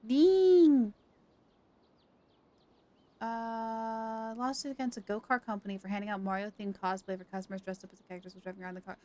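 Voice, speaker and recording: A woman chatting casually on a game livestream, reacting in an animated, exclamatory way. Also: a little high, a little fast. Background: game music.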